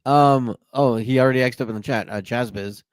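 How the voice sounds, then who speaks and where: A man's voice: mid-range voice, fairly quick, clean tone, no room sound. Male podcast host, conversational and informal, dry close-up recording. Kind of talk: casual podcast chat.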